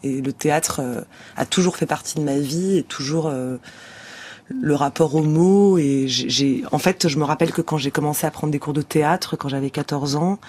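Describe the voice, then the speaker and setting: A woman's voice: deep voice, normal speed, a little mellow, a little hiss or hum. A young actress talking thoughtfully in a radio studio interview, searching for her words as she goes.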